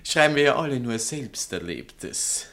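A man's voice: medium pitch, very animated, measured pace, fairly bright tone. Proud writer guy in a stage comedy, super formal, mocking politeness. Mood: lofty, provocative. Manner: formal, grandiloquent, ironically courteous. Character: proud, sardonic male novelist, smoothly polite in defiance. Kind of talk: theatrical stage comedy dialogue.

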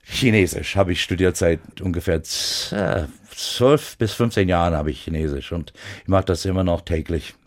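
Brief: American guest speaking careful, halting German, low-pitched, many restarts. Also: male voice. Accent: American English. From United States. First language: English. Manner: halting, searching for German words, frequent restarts. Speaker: guest pianist. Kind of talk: radio interview.

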